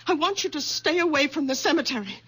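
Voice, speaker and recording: An anxious protective young wife. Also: female voice, high voice, animated, fast talker, a bit bright. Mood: stern. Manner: worried, pleading. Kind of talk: 1970s radio drama acting.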